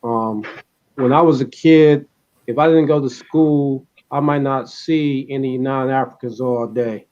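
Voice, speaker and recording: A man's voice: medium pitch, fairly even intonation, a little slow, a little mellow, dull audio. A man from the American Midwest casually recounting personal memories as a panel member. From Detroit, United States. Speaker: panel member, army veteran.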